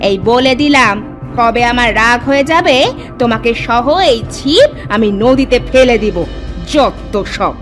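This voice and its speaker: A woman voicing a sharp-tongued, scolding village wife and mother in a cartoon, with a nagging, scornful manner. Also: a little high, unhurried, music in the background, lightly acted. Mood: angry scolding. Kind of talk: exaggerated Bengali cartoon voice acting.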